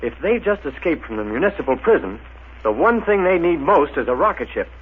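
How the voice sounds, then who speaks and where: A man's voice: fairly high pitch, sing-song, a little fast. An actor playing a decisive, hearty young male space captain, plain-spoken and emphatic, in a 1930s radio serial. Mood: decisive, keen. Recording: muffled, noisy old 1930s radio.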